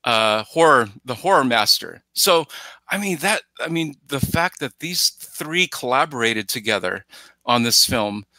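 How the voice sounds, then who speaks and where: A middle-aged man talking casually as a podcast guest on a headset, haltingly, often searching for words and repeating himself. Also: medium pitch, animated, sharp tone, no echo. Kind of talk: casual film discussion. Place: indoors at home on a video call. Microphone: headset on video call.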